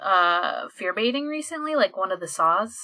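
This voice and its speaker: Bright-voiced young woman bantering casually. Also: medium pitch, lively intonation, moderate pace. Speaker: podcast co-host.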